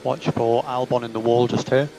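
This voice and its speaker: A man's voice: mid-range voice, fairly even intonation, moderate pace, vocal fry. A man working as a race engineer, giving clipped, procedural instructions over team radio and often repeating them. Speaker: Formula One race engineer. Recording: team radio with loud vehicle noise.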